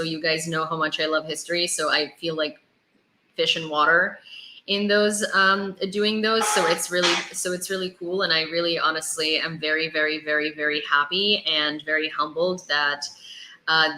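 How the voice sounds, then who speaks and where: A woman's voice: low-pitched, sharp tone, echoey room, a little hiss or hum, low-quality recording. A woman from Ukraine with an American-sounding accent, hosting a livestream of news reading and informal chat with viewers. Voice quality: versatile English with American-sounding vowels. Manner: chatty, informal, thanks viewers by name. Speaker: livestream host reporting Ukraine war news.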